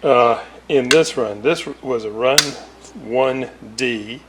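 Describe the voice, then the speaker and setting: A man's voice: medium pitch, slow. A man giving a casual, unscripted technical explanation as a hobbyist restorer, thinking aloud hesitantly in broken phrases. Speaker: hobbyist radio restorer presenting a repair series. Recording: roomy, distant mic, clear ambient noise.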